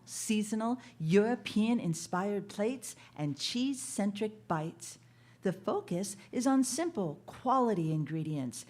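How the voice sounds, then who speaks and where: A woman announcer reading a scripted promotional spot for radio, clearly enunciated. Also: medium pitch, warm tone. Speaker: sponsor announcer.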